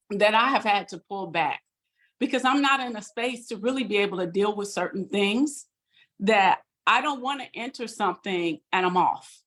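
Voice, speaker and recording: A woman sharing a personal testimony on a video call, earnest and halting with fillers. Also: mid-range voice, a bit bright. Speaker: guest.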